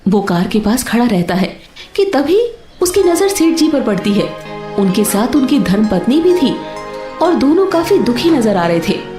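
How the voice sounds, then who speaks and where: A woman narrating a scripted story as a storyteller, in an expressive manner. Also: a little high, normal speed, echoey room. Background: soft instrumental music with sitar.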